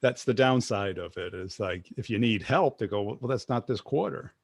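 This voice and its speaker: Male podcast host, wry asides, gravelly voice, dry sound. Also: on the low side, normal speed, no echo. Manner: short interjections, wry asides. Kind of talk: casual interview chat.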